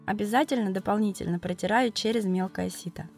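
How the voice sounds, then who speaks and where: A woman with a cold, narrating a cooking video as its host, explaining each step calmly and methodically. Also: medium pitch, fairly quick, faint music behind. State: has a cold, voice affected. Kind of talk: calm recipe voice-over narration.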